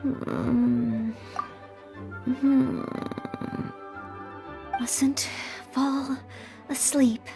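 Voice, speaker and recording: A woman's voice: high-pitched, little pitch movement, extremely slow, creaky, long pauses, full character voice. A woman voicing an earnest, anxious, polite young maid in a video game, studious and fretful in manner. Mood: drowsy. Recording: background music behind voice.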